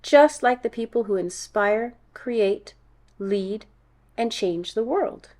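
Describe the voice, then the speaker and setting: A woman reading a children's picture book aloud in a deliberate, animated storytelling style. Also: on the high side. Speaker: read-aloud storyteller, music teacher.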